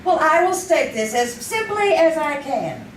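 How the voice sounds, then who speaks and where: Lady reporter, played by a stage actress: wry, needling, high voice, old-time radio delivery, echoey live theater. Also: very animated, unhurried. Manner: teasing, knowing, pointed. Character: wry, catty, sharp-tongued female police-desk reporter. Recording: distant, echoey live stage, faint noise.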